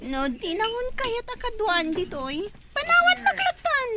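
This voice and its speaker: A woman with an Ilocano Filipino accent playing a defiant, rude young daughter in a radio drama, shrill and insolent. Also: wildly swooping pitch, unhurried, over a noisy crowd, full character voice. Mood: defiant, scornful.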